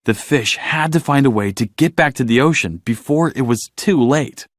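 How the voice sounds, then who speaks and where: Guy narrating a bedtime story for kids, really expressive, pitch moves a lot, dry clean sound. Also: moderate pace, dry recording. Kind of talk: scripted children's story narration.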